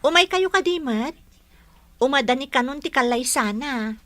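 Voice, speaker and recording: A woman's voice: high voice, expressive, moderate pace, a bit bright, no room sound. A woman playing a helpful young grandchild with an Ilocano accent in a comedy drama. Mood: friendly, coaxing. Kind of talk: Filipino comedy-drama dialogue.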